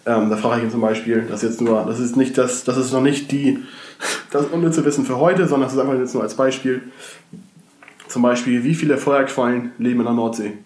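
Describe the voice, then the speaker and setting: A man co-hosting a casual podcast from his shared flat, slightly congested from a cold, rambling with many fillers and restarts. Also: mid-range voice, brisk, echoey room. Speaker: podcast co-host. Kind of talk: casual chat.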